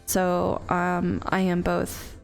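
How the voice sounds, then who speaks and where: A woman's voice: lowish voice, monotone, very slow, creaky, clear, resonant tone, soft background music. A woman streaming live as a vtuber, chatting casually to her viewers with frequent giggles, fillers and restarts.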